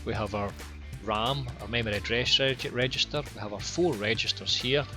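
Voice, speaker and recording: A Scottish man guiding viewers through his online course with plain, unhurried explanations and a few ums and repeated words. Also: on the low side, pushed and bright, faint music behind. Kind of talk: screen-recorded course walkthrough.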